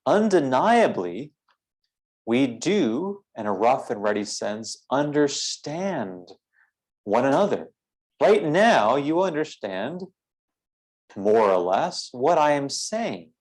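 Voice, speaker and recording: A man leading a philosophical guided meditation in a measured, deliberate way, with formal phrasing and frequent pauses. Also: medium pitch, sing-song, darkish tone.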